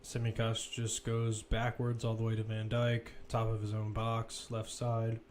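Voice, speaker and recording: A man's voice: fairly low pitch, monotone, measured pace, a little mellow, a little hiss or hum. A man giving live football play-by-play commentary, describing the action as it unfolds in short, clipped phrases. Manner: running present-tense description in clipped phrases.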